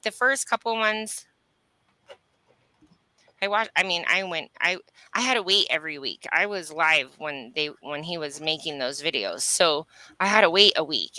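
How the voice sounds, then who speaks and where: A woman's voice: medium pitch, sharp tone, no room sound, low-quality recording. A woman explaining things earnestly in a conversational coaching style on a video call, often checking in with the listener. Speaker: human design reader and coach. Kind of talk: conversational coaching on a live stream.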